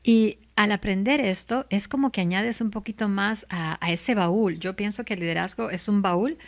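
Middle-aged woman talking faith n leadership as a guest, kinda gravelly voice, lively, laughs a lot. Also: mid-range voice, moderate pace. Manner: animated and heartfelt, laughs often, repeats words for emphasis. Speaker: guest, lawyer and legal commentator. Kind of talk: faith-based interview answer.

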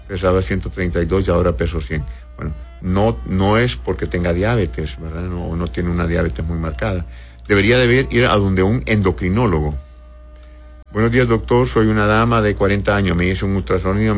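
A man hosting a call-in medical advice radio show, reading listener messages and giving brisk, blunt advice with blessings. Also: lowish voice. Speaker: radio doctor answering listener questions.

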